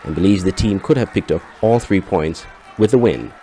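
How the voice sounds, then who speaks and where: A Caribbean man works as a newsreader, narrating a sports report from a script. His voice sits on the low side for a man. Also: lively intonation, moderate pace, warm tone.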